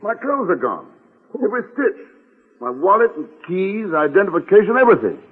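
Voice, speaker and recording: An actor playing a quick-witted American secret agent with a brisk, wisecracking delivery in a 1940s radio adventure. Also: male voice, sits high, very animated, husky, full character voice. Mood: exasperated. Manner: brisk, wisecracking, hard-boiled. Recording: muffled lo-fi old radio recording.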